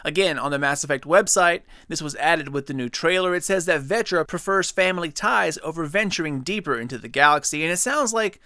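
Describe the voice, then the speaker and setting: A man's voice: on the high side, brisk, hardly any pauses, bright, forceful tone, no echo, studio quality. Upbeat young man breaking down a trailer. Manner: enthusiastic, chatty, speculative. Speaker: gaming channel host. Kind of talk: casual video commentary.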